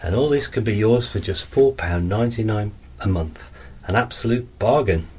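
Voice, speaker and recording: A man's voice: on the low side, moderate pace, a little mellow, slight background noise. A man delivering a scripted sales voice-over in an advertisement.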